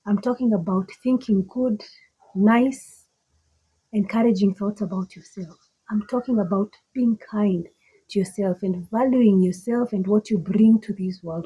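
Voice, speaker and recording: A woman with a Kenyan accent and a slightly husky voice, giving an emphatic, deliberate motivational monologue that repeats phrases for effect. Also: medium pitch, mellow, low-quality recording. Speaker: motivational speaker.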